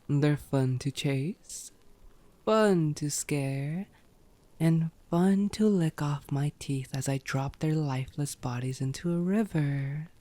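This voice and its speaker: A sardonic female vampire, acted by a woman for an ASMR roleplay. Her voice is very low and mellow, and she speaks slowly, teasing as she savors each word. She is unrepentant and bloodthirsty, often sinking toward a whisper. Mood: savoring, menacing.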